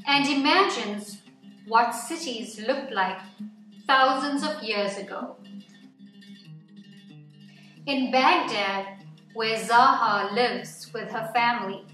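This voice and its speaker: A woman reading a nonfiction picture book aloud to children in an expressive, deliberate storytelling way, phrase by phrase. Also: fairly high pitch. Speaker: children's story-time reader. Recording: echoey room, distant mic, music underneath.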